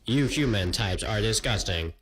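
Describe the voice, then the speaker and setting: An actor playing an officious robot janitor in a sci-fi comedy radio play, with formal, deadpan, dryly contemptuous delivery. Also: male voice, on the low side, moderate pace, slight background noise. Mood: dryly indignant.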